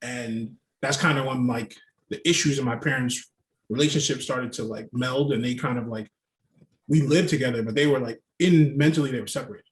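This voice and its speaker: A man's voice: medium pitch, a little fast. Rambling New man in an echoey room. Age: 30s. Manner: storytelling, rambling, with frequent "like" fillers. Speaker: guest. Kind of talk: casual podcast conversation. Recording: roomy echo.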